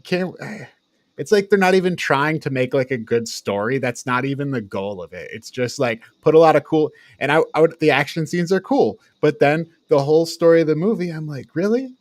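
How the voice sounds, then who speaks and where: A man's voice: a little high, expressive, moderate pace, no echo, clean studio sound. A man chatting casually as a critical podcast panelist, blunt and exasperated, swearing freely. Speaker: podcast panelist reviewing films.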